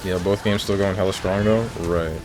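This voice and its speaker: A man streaming a video game, chatting casually with his viewers and joking around, with bursts of mock excitement. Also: deep voice, normal speed, background noise. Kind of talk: casual live-stream chat while gaming. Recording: game music playing underneath.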